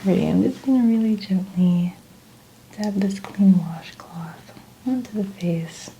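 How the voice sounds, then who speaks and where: Soft, mellow whispering ASMR woman. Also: medium pitch, slight background noise, rough recording. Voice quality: breathy, often whispering. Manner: gentle, soothing, unhurried narration of each step. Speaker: ASMR host doing a makeup application. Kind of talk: soft-spoken ASMR roleplay.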